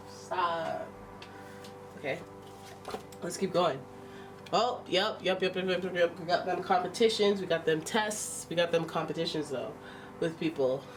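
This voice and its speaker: Woman, stuffy nose, casual tarot reading, exclamatory, slightly distant mic, soft background music. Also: lowish voice. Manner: chatty and exclamatory, thinking aloud. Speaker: tarot reader.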